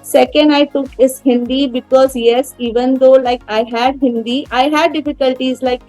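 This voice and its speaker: An Indian woman in her thirties talks earnestly as an interview guest, her voice high and warm. She pauses often with fillers and restarts her sentences. Faint music sits underneath. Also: fairly even intonation, no room sound. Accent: Indian English. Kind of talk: casual interview talk.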